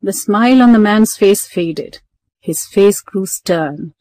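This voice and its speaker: Female narrator, mid-pitched, dry studio sound, scripted children's storytelling. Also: slow pace, warm tone, dry recording.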